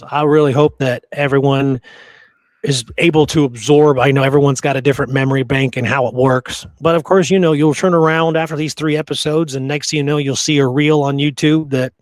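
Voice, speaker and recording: A man's voice: on the high side, fairly even intonation, moderate pace, dry recording. A man hosting a podcast, talking casually about history in an emphatic, opinionated, rambling storytelling way. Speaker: podcast host.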